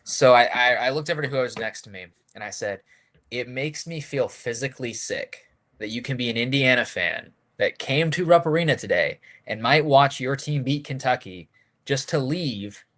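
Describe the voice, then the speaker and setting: A man's voice: mid-range voice, lively intonation, normal speed, a bit bright. A man co-hosting a sports podcast, chatting casually and telling stories. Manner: conversational, storytelling. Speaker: podcast co-host.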